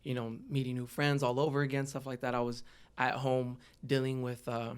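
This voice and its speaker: A man's voice: medium pitch, little pitch movement, brisk, clear, resonant tone. A man from the Los Angeles area telling his own story as a podcast guest in a casual, slangy way. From Los Angeles area, United States. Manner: informal, slangy. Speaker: podcast guest, hip-hop artist.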